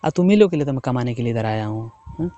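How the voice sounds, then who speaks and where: A man's voice: mid-range voice, lively intonation, racing, dark tone. A young man from the of India, chatting casually with his wife, teasing and coaxing. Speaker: husband working away from home. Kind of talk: casual phone chat.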